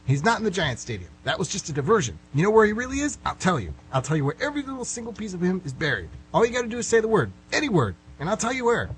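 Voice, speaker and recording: A man's voice: sits high, sing-song, racing, hardly any pauses, rough recording. A man playing a frustrated middle-aged suspect in a radio play, pleading and backtracking as he rambles. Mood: exasperated, goading. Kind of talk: amateur radio play acting. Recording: hum and clear background noise.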